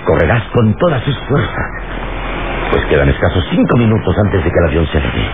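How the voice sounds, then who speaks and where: A determined, protective hero gives orders in a radio serial. His deep voice swings in pitch, heard through a thin, tinny old broadcast recording. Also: big pitch swings, brisk, heavy background noise. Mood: commanding, urgent. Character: commanding adult male hero, calm, protective, determined.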